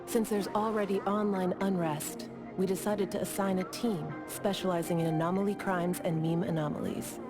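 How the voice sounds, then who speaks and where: An actress plays a composed female bureau officer in a video game. Her voice sits a bit low and she explains things formally, in measured sentences. Music is clearly audible behind her. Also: fairly even intonation, lightly acted. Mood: businesslike.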